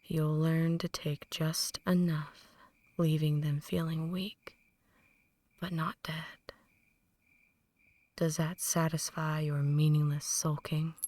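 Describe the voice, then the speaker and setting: A woman performs an ancient female vampire in an intimate ASMR roleplay. Her voice is low, soft and breathy, slipping often into whispers. She takes her time over each phrase, condescending and quietly menacing, like a possessive mentor to a newborn. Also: level tone, dry recording. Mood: cold, instructive. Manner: deliberate, condescending, softly menacing. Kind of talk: ASMR roleplay, slow intimate dramatic monologue.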